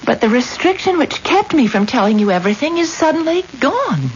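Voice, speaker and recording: An actress playing a wealthy, devoted, forgiving wife in a radio drama, with earnest, halting, theatrical delivery. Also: female voice, sits high, big pitch swings. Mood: hesitant, confessing. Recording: dull, hissy old radio recording.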